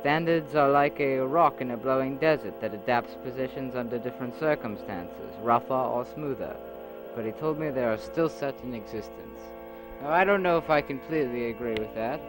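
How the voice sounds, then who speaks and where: A man's voice: mid-range voice, over music, lightly acted, rough recording. Man playing an earnest young leader. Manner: earnest speech with uhs, measured. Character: the journeyman, young male leader back from a journey. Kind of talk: amateur audio drama.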